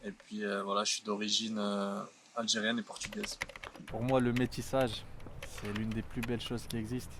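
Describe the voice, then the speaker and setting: A man in his twenties from France, talking earnestly and reflectively in a documentary interview, often pausing to think. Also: on the low side, little pitch movement, noisy background. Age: 20s.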